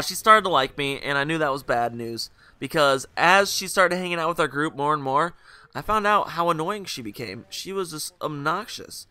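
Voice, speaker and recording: A young man with a very bright, crisp, fairly high voice recorded dry and close. He rambles through a story over gameplay with jokes and fillers, sounding hungover. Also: no echo. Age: young adult. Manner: rambling, joking, full of fillers and asides. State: hungover, voice a bit rougher and lower than usual. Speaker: gaming video host.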